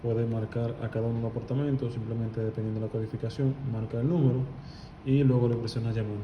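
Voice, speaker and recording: A man's voice: mid-range voice, level tone, quick pace, lo-fi sound. A man explaining how to install an intercom step by step, walking through wiring and often repeating words for emphasis. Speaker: security technician. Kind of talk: on-site installation tutorial. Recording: clear background noise.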